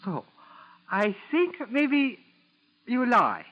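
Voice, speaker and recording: An actor playing a suspicious, falsely humble Japanese chauffeur with halting, broken English and a Japanese accent, in 1940s radio style. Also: male voice, very high-pitched, wildly swooping pitch, sharp tone, exaggerated voice. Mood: slyly probing. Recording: dull 1940s radio recording.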